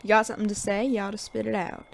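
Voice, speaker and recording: A woman's voice: medium pitch, level tone, very fast, gravelly, slight background noise, natural voice. A woman playing a weary, sardonic veteran male sheriff in a Western audio drama, with an American accent and a dry folksy drawl. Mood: wry, resigned.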